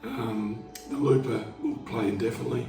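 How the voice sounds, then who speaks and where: Hoarse man, easygoing guitar teacher, rough voice heard from across a room with his guitar going. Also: mid-range voice, fairly even intonation, normal speed, dark tone, recorded from a distance. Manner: laid-back, rambling explanations. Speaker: market busker teaching guitar. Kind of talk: casual instructional talk. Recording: roomy sound, away from the mic, guitar playing behind.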